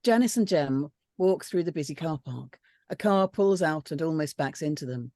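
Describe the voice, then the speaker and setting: A woman's voice: sits low, expressive, moderate pace, mellow, no room sound. A woman reading scripted stage directions aloud as a narrator at a script reading.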